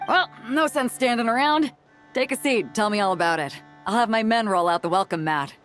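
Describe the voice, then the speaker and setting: A woman's voice: a little high, brisk, a bit bright, natural voice. An actress playing a bold, hearty, easygoing woman fleet captain in an anime-style video game, casual and self-assured. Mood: welcoming, amused. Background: faint background music.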